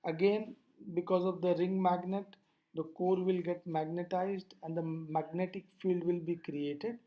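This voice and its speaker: A lecturer explaining physics over slides in a deliberate, didactic way, posing questions and then answering them. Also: medium pitch, steady tone, muffled recording.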